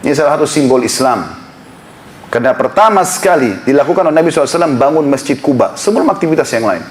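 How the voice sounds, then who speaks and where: A man's voice: fairly high pitch, animated, racing. A man preaching an impassioned sermon to a congregation in a prayer hall, building to emphatic appeals. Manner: impassioned, rising to emphatic exhortation. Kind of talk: Islamic sermon lecture.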